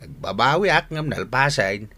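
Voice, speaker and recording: A man's voice: medium pitch, expressive, moderate pace, pushed and bright. A man acting in a radio drama as a repentant, earnest older father who likes his drink, with an Ilocano accent.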